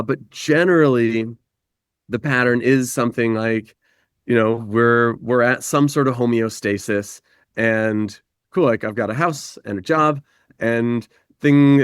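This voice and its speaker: A man who is a guest on a podcast, speaking reflectively and reaching for images, his pace moving between slow and quick. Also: medium pitch, clear, resonant tone, no room sound, studio quality. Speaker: podcast guest, life guide. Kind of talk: reflective conversation.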